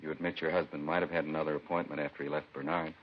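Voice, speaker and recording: A plainspoken, probing police detective in an old radio crime drama. He speaks low and fast, clipped and polite. The recording is dull and clearly noisy. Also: little pitch movement. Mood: calm, doubtful.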